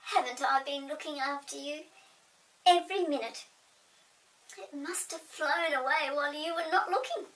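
A woman voicing a small, sly male goblin in a children's storybook, pompously and over-politely courteous, with a sharp, creaky voice. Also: high-pitched, normal speed, recorded from a distance, pitched above the performer's own voice. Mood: smug.

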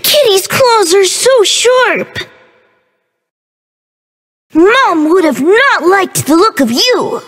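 An extremely high, childlike baby dinosaur with wildly swooping intonation. The creature is innocent, bubbly and playful, an exaggerated video game character. It takes its words very slowly, with little gaps and stretches. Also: female voice, expressive, a bit bright, long reverb tail, full character voice. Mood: excited, alarmed.

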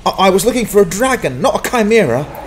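Hapless sword-for-hire played by a man, wry mutterer, fantasy audio drama, very varied pitch, faint background noise. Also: very animated, quick pace. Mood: startled, stammering. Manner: muttering to himself, stammering, sardonic. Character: hapless, wry male down-at-heel adventurer.

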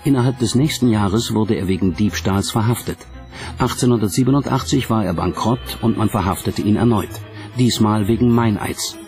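A man reading scripted documentary narration in a measured, dramatic manner. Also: lowish voice, a little mellow. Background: music.

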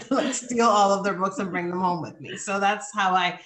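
A woman's voice: medium pitch, moderate pace, a bit bright. A woman from the Dominican Republic talking in a casual panel over a video call, restarting her phrases often. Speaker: romance author on a panel. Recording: echoey room on a video call.

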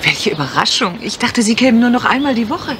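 A clever, eager young wife in an old radio mystery. Her voice sits fairly high and swings animatedly through banter and exclamation. The vintage recording carries clear noise. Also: big pitch swings, a little fast, fairly bright tone. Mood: sly, chatty. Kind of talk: retro German radio crime drama acting.

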